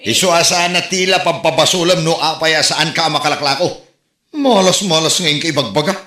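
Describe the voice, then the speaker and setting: Man acting bright, grumbling village husband. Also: on the high side, brisk. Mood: scolding. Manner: scolding, grumbling. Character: scolding, embarrassed village husband. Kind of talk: Ilocano comedy drama acting. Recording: echoey room with music behind.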